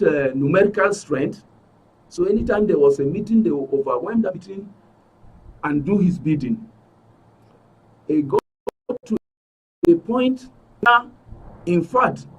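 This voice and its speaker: A man's voice: high-pitched, a little mellow, breathy edge, far from the mic, low-quality recording. A middle-aged Nigerian man giving an earnest, emphatic account as a guest in a broadcast interview, repeating phrases for stress. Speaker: studio guest, aggrieved club member. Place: radio and TV studio.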